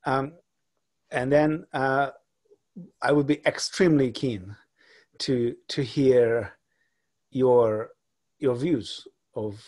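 A husky, breathy-voiced man in his forties gives a lecture over a video call from his home, picked up by a laptop webcam. He speaks at a middling pitch and pauses often, circling back with fillers and restarts as he walks through his slides. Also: steady tone, a little mellow. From Hungary. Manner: halting, many fillers and restarts. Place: home room.

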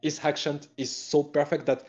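A French-speaking man chatting in English with a French accent, hesitant and self-conscious, pausing often with fillers and laughing. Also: on the high side, flat delivery, darkish tone, muffled recording, soft background music. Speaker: guest streamer. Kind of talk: casual stream chat.

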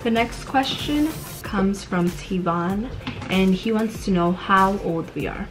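A woman's voice: mid-range voice, slow, music in the background, low-quality recording. A woman co-hosting a casual Q&A in a small room, joking in a playful, teasing way. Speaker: co-host of a channel Q&A. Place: small dim bedroom, indoors.

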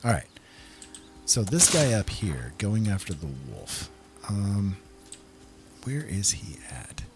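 An easygoing man with a low voice commentates casually on a game. He muses to himself as he plays, with prominent game music running behind him. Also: big pitch swings, slow. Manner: easygoing, musing, talks to himself. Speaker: gameplay host. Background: game music and sounds.

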